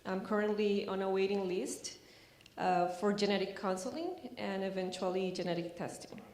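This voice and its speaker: A woman in her thirties from Colombia and Costa Rica, speaking on a panel from notes, hesitant and filling pauses. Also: medium pitch, darkish tone. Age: 30s. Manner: hesitant, many ums and uhs. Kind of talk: nervous first public talk, reading notes. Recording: echoey room with faint noise.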